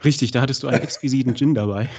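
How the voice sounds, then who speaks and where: A man hosting a podcast in casual chat, with a cold, chatty and filling pauses. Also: medium pitch, a little mellow, breathy edge. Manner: chatty, many fillers. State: has a cold. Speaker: podcast host. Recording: dull lo-fi sound.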